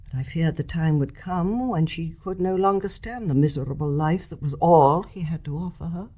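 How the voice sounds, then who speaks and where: An older woman with an English accent playing a wise, composed, forgiving mother-in-law in a radio drama, measured and frank. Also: low voice. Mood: reflective, frank. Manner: measured, articulate, gently frank. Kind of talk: stage-play radio drama acting.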